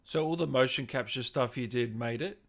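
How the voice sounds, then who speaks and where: Casual male livestream interview host, mid-pitched. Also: brisk, creaky, a bit bright. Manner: informal, conversational. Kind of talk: casual livestream interview, reading viewer questions.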